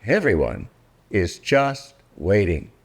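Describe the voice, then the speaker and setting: An older man reading a rhyming children's book aloud in a theatrical, deliberate way, drawing out words for effect. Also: lowish voice, sing-song. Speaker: storybook reader.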